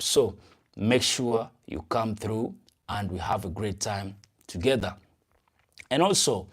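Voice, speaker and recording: A young man with a Ugandan English accent, hosting a casual livestream, greeting viewers and repeating phrases in an upbeat way. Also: fairly low pitch. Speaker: channel host and event promoter.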